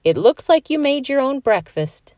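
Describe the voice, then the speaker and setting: A woman's voice: sits high, sing-song, normal speed, a little mellow, no echo, studio quality, distant mic, voice pushed up. A woman voicing a cheerful, playful mother with a lilting delivery in a storybook.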